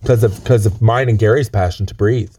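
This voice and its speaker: A man, raspy from a chest cold, rambling earnestly and stammering through deadpan comedy chat outdoors on a lookout. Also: on the low side, a little fast. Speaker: comedy co-host.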